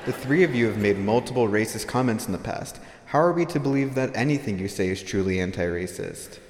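A man's voice: fairly low pitch, lively intonation, a little fast, lightly acted. A man playing an earnest, indignant activist heckling from the audience in an audio play, accusing and insistent. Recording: noisy, echoey hall with long reverb.

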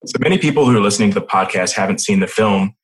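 A man hosting a podcast, who reads a scripted intro in a clear, measured way and then chats casually with his guest. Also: lowish voice, expressive, low-quality recording. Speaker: podcast host.

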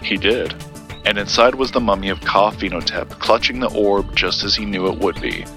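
A low, bright-edged male voice for an audio drama. He is an earnest, guarded keeper of occult secrets who lays out his tales in measured sentences, with faint music underneath. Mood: measured storytelling. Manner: measured, storytelling.

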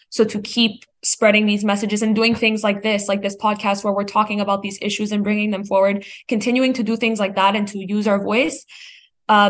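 A teenage young woman, a student guest, giving a conversational interview answer. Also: medium pitch. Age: teens.

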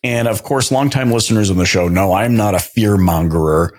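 Chatty low-voiced podcast host. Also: male voice, fairly quick. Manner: upbeat, chatty, with lively jumps in pitch. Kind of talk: casual interview chat.

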